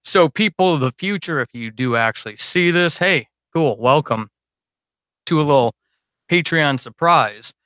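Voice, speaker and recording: A man's voice: on the high side, animated, a little slow, bright, forceful tone, smooth, dry recording. A man chatting casually and unscripted to his supporters on a livestream, rambling, informal and self-deprecating as a podcast host.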